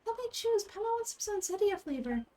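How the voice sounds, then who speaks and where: A woman voicing a small, childlike fairy game companion who is excitable and nosy, with a squeaky high voice full of questions and exclamations. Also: a little fast. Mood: puzzled. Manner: exclaiming, questioning, speaks of self in third person.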